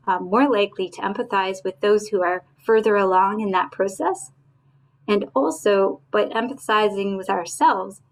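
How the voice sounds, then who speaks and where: A woman speaking as a webinar panelist over a video call, measured and explanatory. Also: medium pitch, rough recording.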